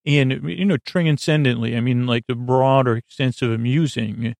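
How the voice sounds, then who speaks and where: A middle-aged man with a creaky, gravelly voice hosts a bedtime podcast, rambling haltingly and interrupting himself as he goes. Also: mid-range voice, steady tone, darkish tone, no echo. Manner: meandering, halting, self-interrupting. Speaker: podcast host.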